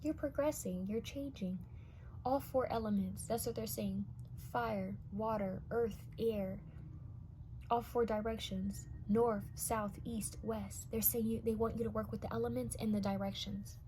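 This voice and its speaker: A young woman giving a casual pick-a-card tarot reading to her viewers, her voice a little raspy from many readings, now and then dropping to a whisper as she reads the cards. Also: a little high, steady tone, unhurried, dark tone, a little hiss or hum, rough recording. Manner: intuitive, addresses viewers directly, drops to whispers while reading cards.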